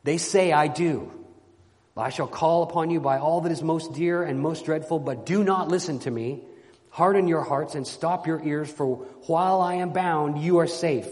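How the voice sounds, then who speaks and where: A man voicing an anguished, bound knight under enchantment in a storybook reading, pleading forcefully in formal, old-fashioned speech. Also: a little high, normal speed, natural voice. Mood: urgent warning. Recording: long hall reverberation.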